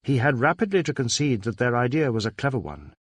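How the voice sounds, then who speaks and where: A man's voice: medium pitch, big pitch swings, fast talker, slightly rough, dry recording, clean studio sound. A man reading a crime novel aloud as scripted narration. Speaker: audiobook narrator.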